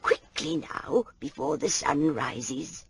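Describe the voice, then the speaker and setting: Gentle old foster mother, low creaky voice, very expressive, hushed and tender, audio drama. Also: slow pace, a little hiss or hum. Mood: hushed anticipation. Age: older. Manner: hushed, breathy, tender coaxing. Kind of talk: fantasy audio drama dialogue.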